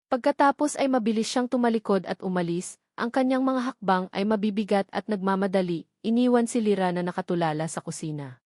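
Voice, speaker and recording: A woman with a Filipino accent narrating a scripted romantic audio drama in a measured, dramatic storytelling manner with deliberate pauses. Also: medium pitch, a little mellow, no room sound, studio quality.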